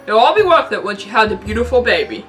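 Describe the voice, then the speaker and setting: Woman voicing a loud, clueless male warrior. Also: a little high, sing-song, fast talker, far from the mic, soft background music. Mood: earnest, loud. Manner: emphatic, earnest cheering. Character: booming, well-meaning, clueless male warrior hero. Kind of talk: comic amateur storybook reading.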